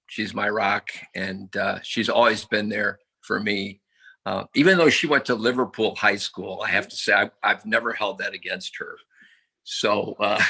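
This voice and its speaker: A man in his seventies giving a humble, halting acceptance speech over a video call, with many fillers and self-deprecating jokes. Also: on the low side, creaky, bright, forceful tone, slightly rough. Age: 70s.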